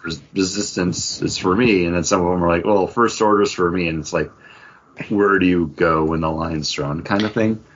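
A man co-hosting a casual geek-culture chat, talking in a halting, conversational way full of filler words. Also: deep voice, steady tone, fairly bright tone, lo-fi sound, a little hiss or hum. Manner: halting, conversational, filler-heavy with frequent 'like'. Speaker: podcast co-host.

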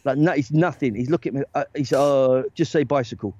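A man, a talent agent and guest on a podcast, telling stories in a loose, animated way with lots of "right?" tag questions. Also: mid-range voice, fast talker, background noise. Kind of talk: casual storytelling chat. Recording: dry, dull lo-fi sound.

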